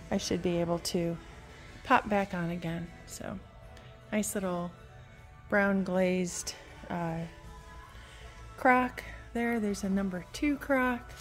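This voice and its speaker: A woman strolls through a big antique mall with her phone, speaking slowly and loosely with plenty of fillers. Her voice sits in an ordinary middle register. Store music plays softly behind her on the phone recording. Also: mid-range voice. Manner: slow, meandering, unhurried with frequent fillers. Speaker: antiques vlogger. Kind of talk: casual walk-through commentary. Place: large indoor antique mall. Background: store music playing faintly.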